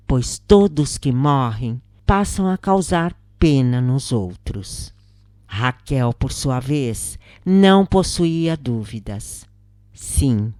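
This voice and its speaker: Low-voiced Brazilian woman, slow narrator. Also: warm tone, no echo, studio quality. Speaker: narrator reading a spiritist account. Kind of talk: scripted first-person audiobook narration.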